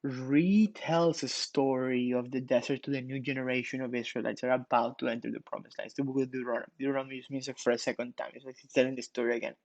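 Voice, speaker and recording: A man's voice: mid-range voice, fairly even intonation, fast talker, hardly any pauses, a little mellow, no echo, poor audio. Casual young man giving a devotional. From Ecuador. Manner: casual, many fillers and restarts. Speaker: pastor. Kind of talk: morning devotional.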